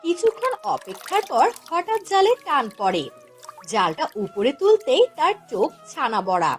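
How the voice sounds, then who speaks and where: A woman's voice: sits high, sing-song, moderate pace, faint music behind. A woman narrating a scripted fairy tale for a cartoon as the storyteller.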